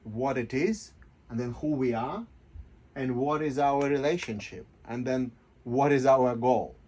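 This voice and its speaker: A man speaking as a guest in a radio interview, explaining in short, halting, often repeated phrases. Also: medium pitch, dark tone. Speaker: interview guest, life coach and kirtan teacher. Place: radio studio.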